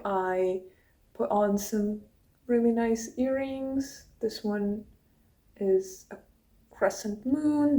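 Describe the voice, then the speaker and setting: A woman's voice: fairly high pitch, warm tone. A young woman from Russia with a Russian accent, her first language Russian, chatting casually to her phone camera as she answers viewer questions from her notes. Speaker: YouTuber. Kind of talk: casual get-to-know-me chat.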